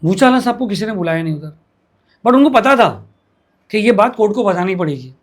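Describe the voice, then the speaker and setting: A man's voice: high voice, expressive, fairly quick. A man interviewing for a news channel, arguing insistently, cutting in and repeating phrases for emphasis. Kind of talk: animated news interview, arguing his point.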